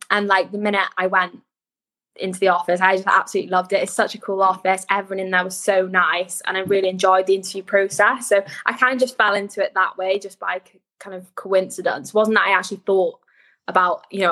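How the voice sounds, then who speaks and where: A woman's voice: medium pitch, flat delivery, fast talker, a bit bright. A Welsh woman in her twenties, chatting as a guest on a remote interview, rambling with plenty of fillers. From Wales. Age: 20s. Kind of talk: casual interview chat.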